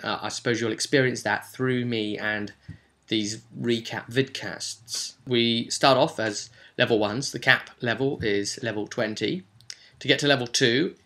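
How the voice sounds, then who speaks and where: A man's voice: medium pitch, fairly bright tone. A man hosting a casual vlog as a game master, talking informally and with enthusiasm about tabletop role-playing.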